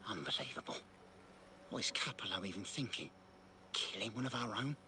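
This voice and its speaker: A man's voice: on the low side, expressive, brisk, background noise, lightly acted. A man playing a loyal but uneasy crime-family henchman in a video game, speaking warily. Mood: appalled, anxious. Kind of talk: dramatic video game dialogue.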